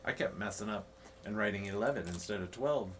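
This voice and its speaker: A man's voice: on the low side, brisk, a little hiss or hum. A man co-hosting a podcast, chatting and telling playful stories about a game. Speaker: podcast co-host. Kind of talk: casual chat.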